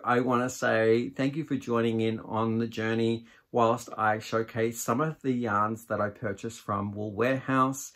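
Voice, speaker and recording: A man in his forties giving a casual product review to camera, unhurried and musing as he thinks aloud. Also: medium pitch. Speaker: yarn vlogger, host. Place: small indoor craft room with shelves of yarn.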